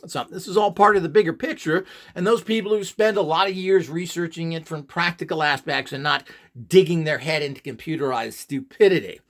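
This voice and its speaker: A man's voice: a little high, brisk. A man giving an opinionated instructional talk, scornful and ranting, firing off rhetorical questions. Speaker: radionics instructor.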